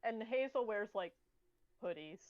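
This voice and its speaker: Brief: woman player, mid pitch, easygoing, dull lo-fi mic. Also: animated, measured pace. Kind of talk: casual chat at a game table.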